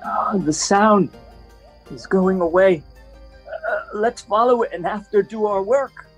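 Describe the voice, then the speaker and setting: A man's voice: high voice, slow pace, soft background music. A man playing a cowardly, fretful jester, exclaiming theatrically in a Shakespearean radio play. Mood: curious.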